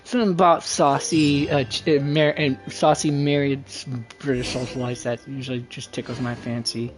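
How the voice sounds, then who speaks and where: A woman hosting a game livestream, chatting casually in a halting, rambling, folksy way. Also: extremely low pitch, steady tone, faint music behind.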